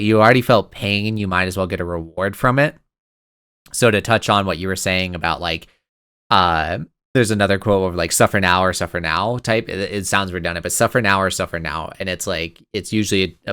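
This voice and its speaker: Lively young man, smooth dry voice. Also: low-pitched, no echo. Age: 20s. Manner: excitable, rambling, quick to laugh. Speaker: podcast co-host. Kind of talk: casual chat.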